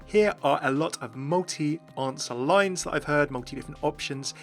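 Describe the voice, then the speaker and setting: A man's voice: a little high, moderate pace, creaky. A man hosting a gaming channel, giving enthusiast commentary as he presents game voice clips. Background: background music.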